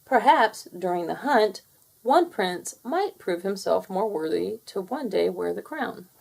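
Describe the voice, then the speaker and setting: A woman reading a children's picture book aloud in an expressive storytelling manner. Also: mid-range voice, a little slow, vocal fry, slightly rough, rough recording. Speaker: storybook reader. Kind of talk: children's read-aloud narration.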